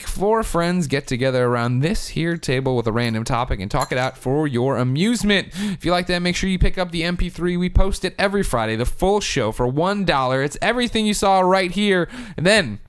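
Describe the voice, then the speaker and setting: A man's voice: fairly high pitch, lively intonation, a little fast, hardly any pauses, smooth. A man hosting a podcast in casual comedic chat, emphatic and argumentative, swearing freely. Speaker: podcast host.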